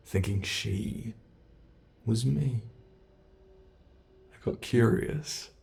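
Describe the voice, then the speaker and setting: A man voicing a sinister, playful monster in an ASMR horror roleplay, gravelly and sometimes breathy, taunting with theatrical, unhurried menace. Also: mid-range voice, warm tone. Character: sinister playful male monster hunting its captive.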